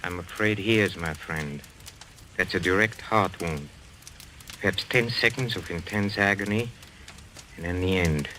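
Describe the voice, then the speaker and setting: A man's voice: low voice, unhurried, long pauses, pushed and bright. A man acting in an old-time radio mystery as a courteous, faintly mocking medium, speaking. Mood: grave, composed. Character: suave, theatrical Frenchman, a séance medium under suspicion. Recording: noisy old radio recording.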